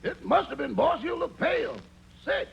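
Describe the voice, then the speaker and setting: A man acting a wry, familiar manservant and driver with a gravelly voice and dry comebacks in 1940s radio comedy. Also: very high voice, expressive, moderate pace, full character voice. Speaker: comic sidekick on a radio show. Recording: dull, very noisy vintage radio recording.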